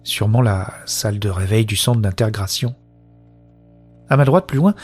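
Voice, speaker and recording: Man acting as wonderstruck female narrator, husky mid-pitched voice, earnest short phrases, science-fiction audio drama, faint music underneath. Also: darkish tone. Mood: uneasy, weak. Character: wonderstruck woman newly linked to a shared consciousness.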